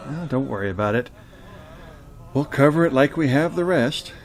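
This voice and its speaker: Lively, gravelly male announcer. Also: mid-range voice, normal speed. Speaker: announcer and host. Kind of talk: radio theater credits and announcements.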